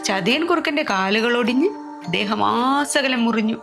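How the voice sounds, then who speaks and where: High-pitched, slow-paced woman storyteller. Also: animated, vocal fry, music in the background. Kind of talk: children's story narration, scripted.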